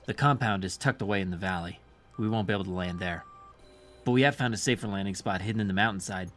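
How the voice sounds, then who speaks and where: Deep voiced tech guy man acting, businesslike military report, fast, faint music, audio drama. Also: fairly bright tone. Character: businesslike male military navigation technician.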